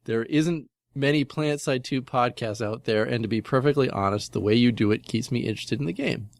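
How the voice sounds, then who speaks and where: A man's voice: medium pitch, a little fast, vocal fry, no room sound. Dry-sounding man on a gaming podcast. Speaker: podcast co-host. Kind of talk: casual podcast chat and reading listener email.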